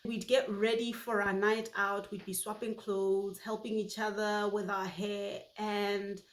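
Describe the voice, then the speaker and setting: A woman's voice: mid-range voice, level tone, measured pace. Heartfelt woman from Botswana, echoey room. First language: Setswana. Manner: warm, heartfelt storytelling. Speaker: YouTube host. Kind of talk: personal reflective monologue.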